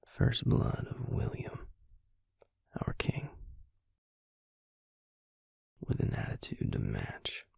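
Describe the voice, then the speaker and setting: A man playing a tender, regretful male vampire in an intimate audio roleplay, confiding haltingly in a soft, breathy, gravelly whisper. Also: no room sound, studio quality, lightly acted. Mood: confiding, solemn.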